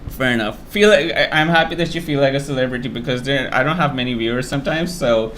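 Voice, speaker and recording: A man's voice: medium pitch, quick pace, a bit bright, slight background noise. Casual, rambling male interview host.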